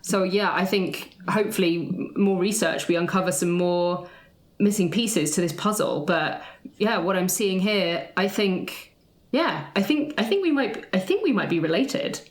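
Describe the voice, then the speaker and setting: A woman's voice: on the low side, moderate pace, reverberant, natural voice. A woman playing an earnest, searching family-history researcher, talking tentatively and thoughtfully in improvised roleplay.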